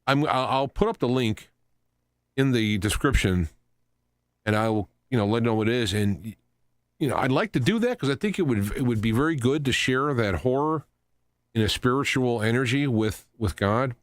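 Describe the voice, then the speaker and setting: A man hosting a radio talk show, giving an unscripted commentary in a halting, rambling way, often repeating and restarting his phrases. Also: mid-range voice, expressive, no echo, clean studio sound. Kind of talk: unscripted news commentary monologue.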